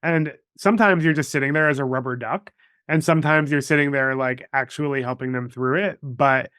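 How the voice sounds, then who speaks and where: A man's voice: medium pitch, steady tone, brisk, pushed and bright, clear, resonant tone, dry recording, clean studio sound. A man hosting a podcast in a casual, conversational and reflective way. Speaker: podcast host. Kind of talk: casual interview chat.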